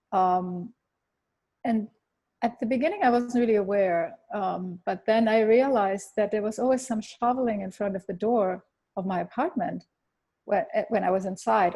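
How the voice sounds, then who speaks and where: Interviewee in her fifties; husky, halting, restarts a lot. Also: medium pitch, mellow. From Germany. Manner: hesitant, many fillers and restarts. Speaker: interviewee, architect. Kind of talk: thoughtful interview answers.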